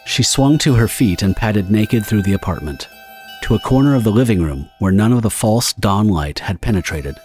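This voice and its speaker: A male narrator delivers a scripted dramatic story in a low voice. Music plays clearly behind him. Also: expressive, moderate pace, darkish tone.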